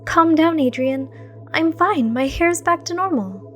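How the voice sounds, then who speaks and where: A woman's voice: high voice, expressive, unhurried, soft background music, pitched above the performer's own voice. A woman voicing a stubborn, protective teenage heroine in story dialogue, emphatic and argumentative. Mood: soothing, insistent.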